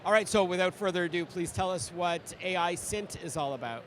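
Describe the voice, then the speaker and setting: A man interviewing a guest at a conference, asking brisk, upbeat questions. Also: high-pitched, lively intonation, fairly bright tone. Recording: faint street and vehicle noise.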